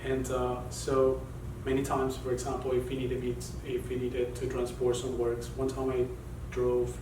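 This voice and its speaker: A man's voice: mid-range voice, monotone. Reflective Colombian-born man, distant roomy sound. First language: Spanish. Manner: reflective, halting, many restarts. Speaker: artist guest. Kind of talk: casual interview talk. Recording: roomy and noisy, mic far off.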